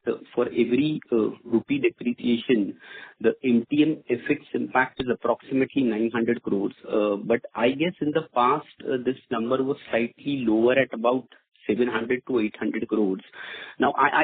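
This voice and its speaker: Husky male analyst on a phone line. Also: mid-range voice. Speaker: financial analyst asking questions. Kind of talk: formal question on an earnings call. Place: conference call. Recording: muffled narrow phone line.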